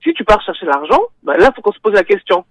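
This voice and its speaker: A woman guest on a radio talk show, speaking haltingly with repeated words, then arguing her point firmly. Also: mid-range voice, sing-song, no echo, highs cut off.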